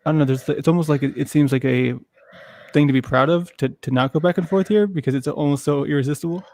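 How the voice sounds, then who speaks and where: Gravelly man, hesitant casual talk. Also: fairly high pitch, fast, a little mellow. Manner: hesitant, many fillers and restarts. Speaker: streamer. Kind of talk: casual chat.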